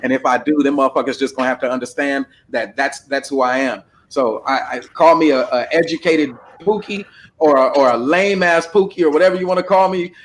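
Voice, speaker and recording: A man's voice: medium pitch, brisk, slight background noise. A man hosting a casual livestream panel discussion, talking bluntly and with plenty of profanity over a desktop microphone. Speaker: panel host.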